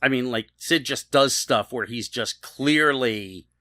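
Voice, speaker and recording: A man co-hosting a podcast, chatting casually and critiquing in a halting, conversational way. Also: mid-range voice, pushed and bright, no echo, studio quality. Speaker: podcast co-host. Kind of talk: casual chat, TV episode discussion.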